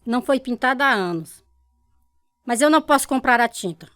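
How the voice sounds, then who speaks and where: A woman's voice: a little high, expressive, a little fast, a bit bright, no echo. An actress playing a harsh, bitter middle-aged mother in a Brazilian Portuguese radio drama, with a scolding, cutting delivery. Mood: wary, surprised. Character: harsh, bitter mother, spiritist, scornful and unforgiving.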